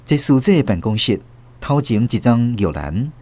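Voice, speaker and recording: A male narrator with a Taiwanese Hokkien accent tells a radio drama story. His medium-pitched voice moves with lively, animated intonation. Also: unhurried. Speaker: host and narrator.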